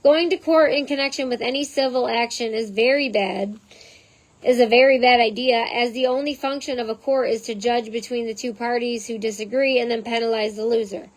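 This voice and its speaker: A woman with a hoarse voice reading a text aloud and breaking off into chatty side notes, as the host of her own channel. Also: fairly high pitch, fairly even intonation, brisk, poor audio. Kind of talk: reading aloud with casual asides.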